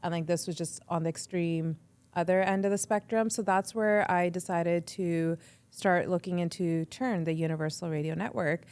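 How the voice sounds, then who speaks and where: A young woman talking casually as a podcast guest. She speaks in a chatty, quick way, says "like" often, and acts out her own thoughts. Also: fairly low pitch, steady tone, darkish tone, clean tone. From Canada. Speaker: guest, radio entrepreneur.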